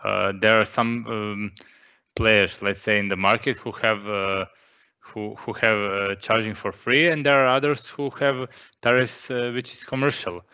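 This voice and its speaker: Bright-voiced energy expert. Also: male voice, on the low side, flat delivery, measured pace, clear, resonant tone. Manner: explanatory, repeats words while thinking. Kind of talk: technical explanation. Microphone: video call mic. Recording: dull lo-fi call audio.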